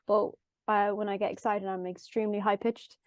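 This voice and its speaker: A nasal Irish woman with a Galway lilt sits in for a casual chat, her voice high and bright in the nose. She tells her stories easily and acts out what she said at the time. The sound is close and dry, with no room around it. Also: flat delivery, a little fast, no echo. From Ireland. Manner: chatty storytelling, acts out her own past lines. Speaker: musician guest.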